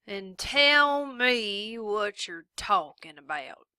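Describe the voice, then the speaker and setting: A woman voicing a drunken, leering small-town sheriff who speaks in a slow drawl. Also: mid-range voice, expressive, sharp tone, clean tone, no echo, clean studio sound, full character voice. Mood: lazy drawling.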